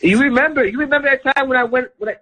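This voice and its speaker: Man acting a husky, high-pitched, flailing boyfriend. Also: racing, sharp tone, heavily acted. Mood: defensive. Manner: stammering, defensive. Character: sheepish, flailing boyfriend making excuses. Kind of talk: phone argument on a radio show.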